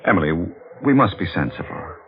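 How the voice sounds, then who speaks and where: Casting: solemn leading man, deep voice, vintage radio melodrama, hissy dull recording. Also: sing-song, a little fast, gravelly, full character voice. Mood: pained, resolute. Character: earnest, sombre, low-voiced male fiancé and lover. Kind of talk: 1940s radio drama acting. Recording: muffled, noisy old radio recording.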